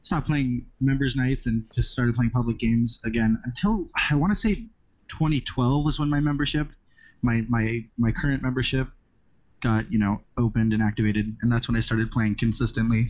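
A man's voice: mid-range voice, steady tone, normal speed, darkish tone, dry recording. Easygoing young man on muffled audio. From Southern California. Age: 20s. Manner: rambling, restarts phrases, easygoing. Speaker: podcast guest. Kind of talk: casual podcast chat.

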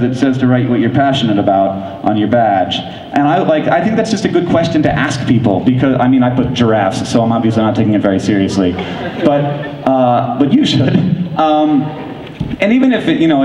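A man giving a keynote talk on stage in a large convention hall, fast and enthusiastic with lots of "like"s, speaking into a handheld mic. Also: medium pitch, dark tone, dull audio, a little hiss or hum. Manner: fast, enthusiastic, filler-heavy with frequent likes. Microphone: handheld microphone. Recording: echoey hall from far off.

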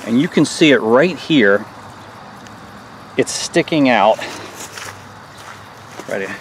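A man's voice: mid-range voice, lively intonation, slow. Guy in his 40s doing a diy engine repair video out on the lawn, plain folksy explaining, mid voice, bit of outdoor noise. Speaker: small engine repair host. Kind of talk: hands-on repair tutorial.

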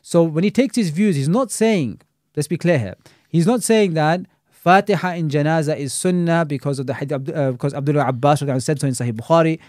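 Fast-talking man, mellow voice. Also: on the high side, no room sound, studio quality. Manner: fast, critical, peppered with Arabic religious terms. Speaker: Islamic scholar and teacher. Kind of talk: casual podcast discussion.

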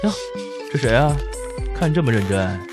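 Casting: playful male office buddy and manager, acted by a man, animated pitch, audio drama with music behind. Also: normal speed, close to an everyday voice. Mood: amused, teasing. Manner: playful, teasing. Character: teasing, chummy young male finance manager. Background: background music.

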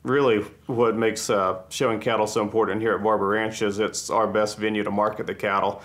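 Flat-voiced Texas rancher, low and even. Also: male voice, a little fast. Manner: even, modest, plainspoken. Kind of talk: interview. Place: indoor ranch room, seated with children. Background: background music.

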